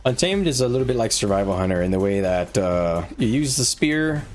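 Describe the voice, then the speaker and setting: A man's voice: on the low side, sing-song, fairly quick. A man talking informally as a game streamer, thinking aloud as he gives viewers gameplay advice in a conversational way. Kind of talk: casual live-stream chat, giving gameplay advice to viewers. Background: music.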